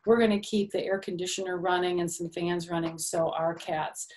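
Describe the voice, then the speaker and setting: A woman's voice: fairly low pitch, fairly even intonation, moderate pace, darkish tone. An older woman reading a picture book aloud to young children, with simple phrasing and little questions and asides to the listeners.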